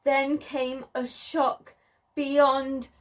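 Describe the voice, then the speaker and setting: A woman's voice: high voice, flat delivery, extremely slow. A woman preschool teacher reading a story aloud, speaking expressively in simple phrases to small children.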